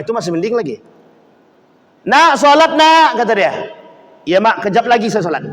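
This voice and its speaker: A man's voice: high voice, a bit bright. A man preaching a sermon to a congregation. He acts out quoted voices and keeps putting questions to his listeners. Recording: long reverb of a hall.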